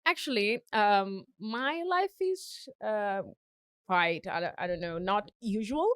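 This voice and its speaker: A woman's voice: mid-range voice, lively intonation, slow pace, fairly bright tone, clean tone, no room sound, clean studio sound. A young woman with a Brazilian Portuguese accent, a singer chatting as an interview guest, laughing often and restarting her sentences. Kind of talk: casual interview chat.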